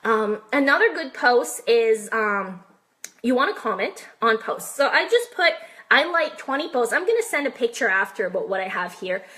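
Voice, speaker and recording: A woman's voice: on the high side, very animated, moderate pace, fairly bright tone. A woman with a French Canadian accent coaching network marketers in a chatty, direct live-stream talk, often checking in with "right?" and questions to her viewers.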